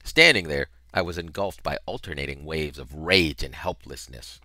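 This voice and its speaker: A middle-aged man narrating a novel in an expressive, dramatic style, with emphatic, deliberate phrasing on a headset mic. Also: rumbling low, no room sound. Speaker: reader of a children's novel.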